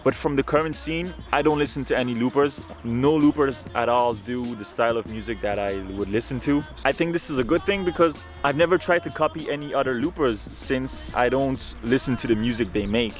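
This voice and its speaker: A man's voice: mid-range voice, steady tone, a little fast, hardly any pauses, faint music behind. Laid-back young man answering fan questions. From Ireland. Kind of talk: casual Q&A vlog.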